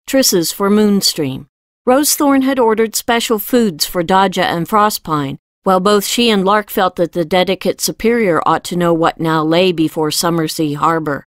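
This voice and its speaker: A woman's voice: medium pitch, no room sound, clean studio sound. A woman reading scripted narration from a fantasy novel as an audiobook narrator.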